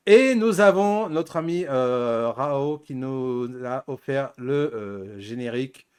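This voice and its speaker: A man's voice: medium pitch, expressive, slow, darkish tone, smooth. A man hosting a talk show casually, joking and digressing with hesitant phrasing. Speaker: host. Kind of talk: casual show presenting with banter.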